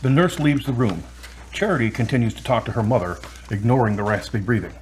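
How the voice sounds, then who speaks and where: A man's voice: mid-range voice, brisk, darkish tone, lightly acted, poor audio. A man narrating a scripted audio drama as its storyteller.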